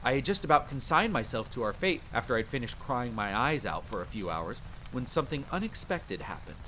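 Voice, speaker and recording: A man voicing a vampire hunter who theatrically recounts his dark boyhood by a campfire in an audio roleplay, with wry asides. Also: noisy background, close to an everyday voice. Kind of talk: dramatic first-person storytelling, audio roleplay. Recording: steady rain and rumbling storm ambience. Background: rain and rolling thunder.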